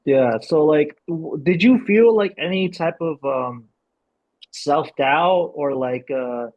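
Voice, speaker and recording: A man's voice: fairly high pitch, slow. A man hosting a casual interview as a filmmaker, on dull, lo-fi audio. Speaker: host, filmmaker.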